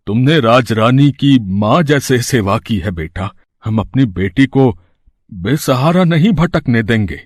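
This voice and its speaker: A man plays a kindly old grandfatherly cartoon character. He speaks slowly with swooping, emotional intonation and great tenderness, in a dry recording. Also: mid-range voice, no echo. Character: kindly, tender elderly father figure in a cartoon.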